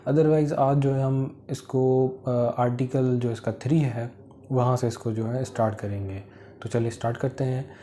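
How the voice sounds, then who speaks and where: Very mellow-voiced Pakistani man lecturing. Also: mid-range voice, fairly even intonation, moderate pace, a little hiss or hum. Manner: mixes English legal terms into explanations. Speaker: law lecturer. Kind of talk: informal explanatory lecture.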